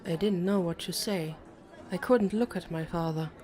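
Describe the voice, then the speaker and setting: A woman narrates an audiobook novel in the first person. Her voice sits a little low, with a dark, mellow tone. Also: normal speed, some chatter behind.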